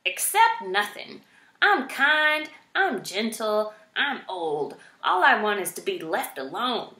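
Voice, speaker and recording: A woman voicing a gruff, crotchety old male porcupine in a children's storybook, blunt, indignant and exasperated. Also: medium pitch, sing-song, slow pace, sharp tone, roomy sound, pitched above the performer's own voice, exaggerated voice. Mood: indignant, roaring. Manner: bellowing, grumbling, indignant.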